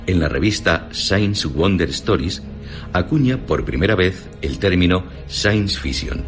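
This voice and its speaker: Deep-voiced man narrating over music. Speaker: radio narrator. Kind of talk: scripted radio documentary narration.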